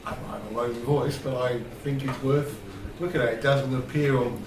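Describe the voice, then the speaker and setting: A man's voice: mid-range voice, fairly even intonation, moderate pace. Distant, echoey man at a meeting. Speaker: parish councillor. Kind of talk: meeting discussion. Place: indoor parish council meeting room. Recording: distant mic, echoey room, clear noise.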